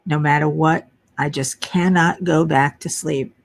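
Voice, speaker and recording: Host, woman, middle-aged, naturally low voice, unhurried, sleep-deprived, chatty and rambling. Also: steady tone, vocal fry. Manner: chatty, muttering while searching for pieces. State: tired from lack of sleep. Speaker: crafting livestream host. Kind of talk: casual live chat while diamond painting.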